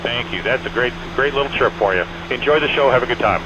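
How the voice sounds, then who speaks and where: A man's voice: medium pitch, fast talker, lo-fi sound. A man working as an air traffic controller, giving clipped, repeated, courteous instructions over aviation radio. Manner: clipped, repetitive directives with courteous sign-offs. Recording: band-limited aviation radio, aircraft engine noise. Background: small airplane engine drone.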